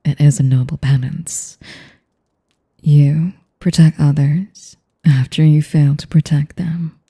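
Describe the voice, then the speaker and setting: A woman playing an elegant, manipulative vampire in an intimate audio roleplay, with a whispery voice and a slow, teasing, mock-polite manner. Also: sits low, mellow, heavily acted. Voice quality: breathy, whispery. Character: sadistic, elegant, manipulative female vampire noble.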